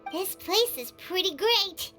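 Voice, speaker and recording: Casting: very high, squeaky childlike fairy sidekick for a video game, bubbly, eager, wildly animated. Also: female voice, slow pace, faint music behind, exaggerated voice. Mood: cheerful. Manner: bubbly, exclamatory, talks of self in third person. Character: tiny childlike female fairy companion, chirpy and eager. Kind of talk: scripted game voice acting.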